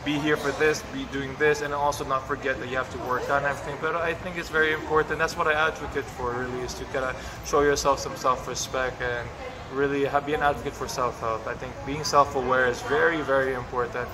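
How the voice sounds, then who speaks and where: A man's voice: medium pitch, steady tone, fast talker, few pauses. A young man in his twenties from the Philippines with an American-influenced English accent, answering interview questions earnestly and slipping in Tagalog phrases. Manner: earnest, mixes in Tagalog phrases, frequent "you know". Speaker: pageant contestant.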